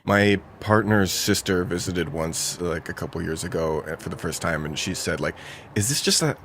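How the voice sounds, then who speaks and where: A man's voice: sits low, normal speed, fairly bright tone, slight background noise. A man talking casually in an interview. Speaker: resident of a collective house, interviewee.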